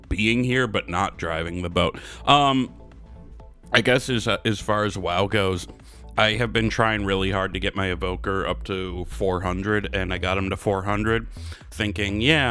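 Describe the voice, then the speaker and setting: A man's voice: fairly low pitch, fairly bright tone. A man chatting casually as a gaming podcast host, laid-back and a little apologetic, with loose rambling stories and casual swearing.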